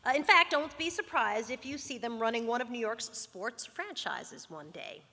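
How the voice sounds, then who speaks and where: A woman's voice: on the high side, expressive, moderate pace, bright, forceful tone. A woman presenter giving a polished, upbeat scripted stage introduction. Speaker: event presenter. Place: banquet hall at an awards dinner. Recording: echoey banquet hall.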